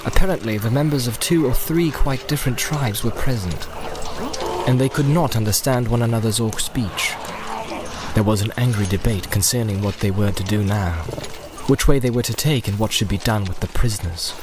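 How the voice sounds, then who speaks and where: A man's voice: fairly low pitch, normal speed, very noisy. A man narrating a fantasy audiobook as a scripted storyteller.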